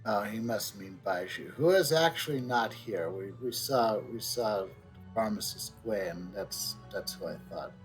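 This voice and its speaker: A man's voice: animated, measured pace. A man giving casual, hesitant commentary as he streams a video game. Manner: hesitant, low-key. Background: game music.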